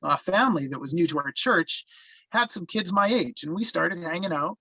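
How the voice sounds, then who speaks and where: Bright-voiced actor as a man looking back. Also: sits high, lively intonation, moderate pace, husky, dry recording, muffled recording, full character voice. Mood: reflective. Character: grown man recalling his rebellious small-town youth. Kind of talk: scripted first-person reminiscence.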